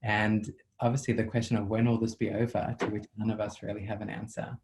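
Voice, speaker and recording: South African male therapist, level low delivery, measured and reflective, video call audio. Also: level tone, gravelly, noisy background. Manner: measured, reflective, with frequent ums. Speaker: psychotherapist. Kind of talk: thoughtful interview answers.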